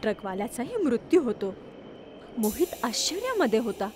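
A woman's voice: fairly high pitch, big pitch swings, moderate pace, noisy background. A woman narrating a scripted horror story.

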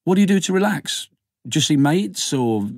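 A man's voice: fairly high pitch, expressive, moderate pace, dry recording, clean studio sound, pitched above the performer's own voice. A man voicing a keen, slightly awkward thirties male doctor in an audiobook, chatty and tentative. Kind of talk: audiobook character dialogue.

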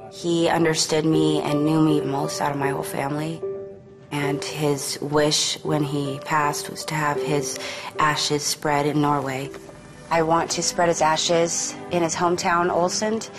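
A young woman on a reality show, speaking upbeat and earnest in an indoor confessional interview. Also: low-pitched. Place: living room indoors.

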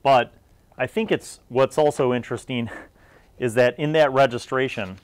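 A man's voice: medium pitch, steady tone, moderate pace, clear, resonant tone, dry recording. Middle-aged man hosting a retrospective review. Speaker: video series host. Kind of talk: retrospective review talk to viewers.

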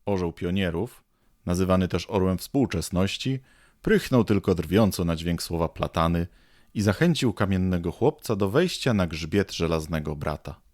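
A man's voice: low-pitched, lively intonation, moderate pace, a little mellow, no echo, studio quality. A man narrating an audio drama as a storyteller, reading scripted prose. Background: faint music.

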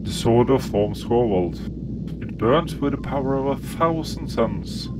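Man as proud warrior, blunt, solemn, deadpan, grandiose, mid pitch, noisy ship backdrop. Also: unhurried, lightly acted.

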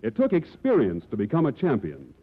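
A man's voice: mid-range voice, huge pitch swings, fairly quick, breathy edge. A man with an American accent narrating a scripted 1940s radio advertisement. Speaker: commercial narrator. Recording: tinny, narrow 1940s radio broadcast.

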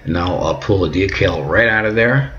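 A middle-aged man giving calm, patient step-by-step instructions at home, often repeating words and checking in with "okay". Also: sits low, normal speed, muffled recording. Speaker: instructor demonstrating decal application. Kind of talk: step-by-step how-to narration. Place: home kitchen and workbench indoors.

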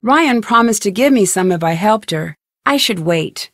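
A young woman detective in an adventure game, quick-witted and determined. She talks to herself inquisitively as she puzzles things out, in a medium-pitched voice. The sound is dry, with no room to it. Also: lively intonation, no room sound. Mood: musing, focused. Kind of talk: scripted video game voice lines, thinking aloud.

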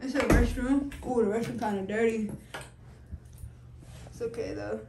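A woman's voice: a little high, fairly even intonation, normal speed, creaky, mellow, reverberant, slight background noise. A woman hosting a casual vlog, giving a house tour in loose, slangy, swear-heavy talk, recorded on a handheld phone inside a house. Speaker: vlog host.

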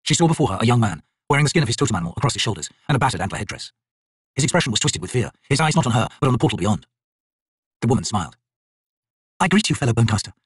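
Brisk, slightly husky male audiobook narrator. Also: medium pitch, big pitch swings, dry recording. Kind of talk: dramatic scripted fantasy narration.

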